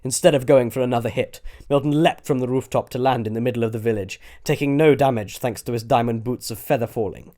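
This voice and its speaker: A man's voice: mid-range voice, fast talker, hardly any pauses, mellow. Man narrating an adventure audiobook. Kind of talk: scripted dramatic story narration.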